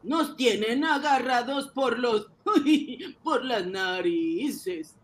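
A man's voice: extremely high pitch, lively intonation, extremely slow, heavily acted. A man playing a naive, earnest ordinary saver, a little hesitant, in an amateur radio drama reading. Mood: indignant.

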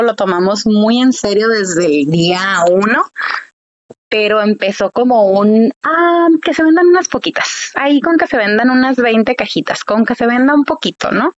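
Woman, chatty guest telling her story, lots of "este" fillers, kinda dull audio. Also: on the high side. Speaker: small business owner, guest. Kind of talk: casual interview. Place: Tijuana.

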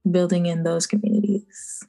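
A young woman in her twenties from the Bahamas, a podcast guest speaking in a relaxed way, with ums and soft laughs. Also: on the low side, normal speed, creaky, faint music behind. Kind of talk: casual interview.

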